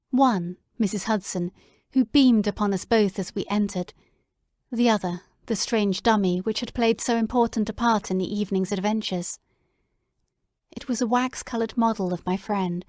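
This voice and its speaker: A woman narrating a classic story in a measured, descriptive way. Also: medium pitch, darkish tone, no echo, studio quality. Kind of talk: audiobook dramatic reading.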